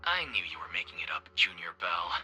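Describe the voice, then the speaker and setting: Playful senior game disciple, low, husky, very sharp, teasing. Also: male voice, very animated, a little fast, faint music behind, full character voice. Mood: mock-scary teasing. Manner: playfully ominous.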